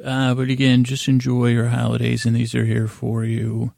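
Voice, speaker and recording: A man with a heavily creaky, gravelly voice hosts a sleep podcast. His delivery is flat and low-key, drifting from one half-finished thought to the next. He rambles informally, halting and repeating himself, in a soft, mellow tone. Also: no room sound, studio quality. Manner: meandering, halting, repeats and trails off mid-thought. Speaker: podcast host.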